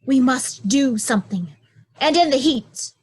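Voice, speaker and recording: Woman playing a high-voiced scheming royal daughter. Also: lively intonation, unhurried, slightly rough, no room sound, exaggerated voice, rough recording. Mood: wary, urgent. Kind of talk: Shakespearean drama reading.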